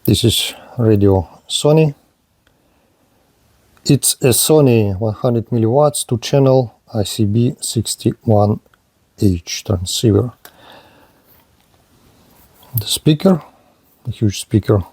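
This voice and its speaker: A man giving a hands-on product demonstration in halting short phrases, with non-native English phrasing. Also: mid-range voice, a little mellow, slightly rough, no echo. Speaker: radio reviewer.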